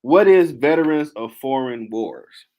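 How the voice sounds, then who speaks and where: A man hosting a casual podcast interview, brisk and slangy in manner. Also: medium pitch, expressive, a little mellow, low-quality recording. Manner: brisk, laid-back, slangy. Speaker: podcast host.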